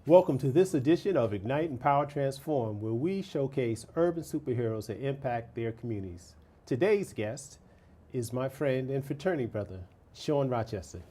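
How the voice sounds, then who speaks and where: A male talk show host with a very dark, mellow tone and a mid-range pitch. He keeps things casual and friendly, using slang and giving frequent short hums of agreement. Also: lively intonation, moderate pace. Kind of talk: interview hosting.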